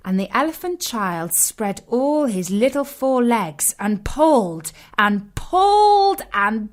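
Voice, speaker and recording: A woman storyteller reading a scripted children's tale in an expressive, deliberate way with emphatic phrasing. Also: on the high side.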